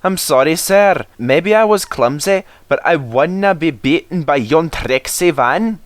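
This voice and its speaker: A man voicing a feisty, excitable steam engine with a Scottish accent in a children's storybook reading. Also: fairly high pitch, a little slow, voice pushed up. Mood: apologetic.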